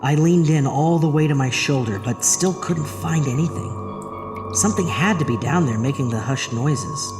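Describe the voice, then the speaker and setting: A man's voice: fairly high pitch, moderate pace, in a large hall, music in the background, lightly acted. Actor as haunted man narrating a horror tale. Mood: uneasy. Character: Arthur, troubled married man haunted by a drain. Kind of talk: first-person horror audio drama narration.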